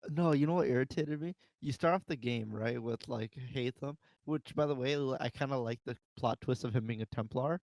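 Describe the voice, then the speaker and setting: A man's voice: medium pitch, brisk, few pauses, a little mellow, dry recording. A man hosting a casual panel chat, talking in slangy, profane language. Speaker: podcast host. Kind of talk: casual panel chat about games and films.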